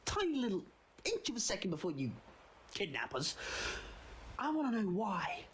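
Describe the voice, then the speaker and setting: A man playing a quirky, quick-witted time traveller in an audio drama, flippant yet assertive. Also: sits high, huge pitch swings, a bit bright, slight background noise, heavily acted. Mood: defiant.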